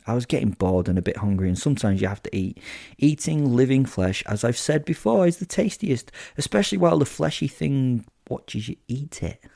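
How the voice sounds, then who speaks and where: A man's voice: medium pitch, creaky, mellow, dry recording, studio quality. A man voicing a sadistic, gleeful werewolf killer who tells horror audio drama in the first person, with flippant, theatrical asides. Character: sadistic, gleeful male werewolf serial killer narrating his kills.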